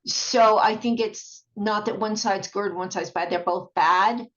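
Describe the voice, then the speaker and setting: A woman video blogger giving a rambling, casual monologue in a halting, repetitive way, often trailing off. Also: mid-range voice, steady tone, fairly bright tone. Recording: dull, muffled lo-fi sound.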